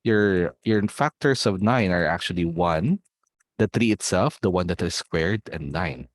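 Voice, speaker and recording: A man's voice: low voice, expressive, unhurried, no echo, studio quality. A man with a Filipino accent teaching a math olympiad class online, explaining step by step and often checking whether students follow. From Philippines.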